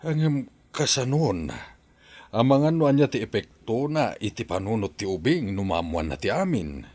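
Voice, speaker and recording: A man with an Ilocano accent playing a resigned, protective husband and father in an audio drama, in a husky voice. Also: medium pitch, very animated, normal speed, a little mellow. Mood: concerned.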